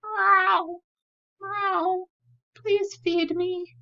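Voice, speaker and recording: High, plaintive little stray cat. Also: female voice, little pitch movement, vocal fry, muffled recording, full character voice. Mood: pleading. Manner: plaintive, drawn-out meowing delivery. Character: sad, hungry stray cat pleading for food. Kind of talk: homemade children's puppet show.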